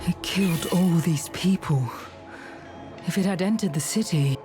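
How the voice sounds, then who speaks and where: A woman's voice: on the low side, unhurried, over music, natural voice. Woman acting resolute, dry-witted game hero. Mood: determined. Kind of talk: video game dialogue acting.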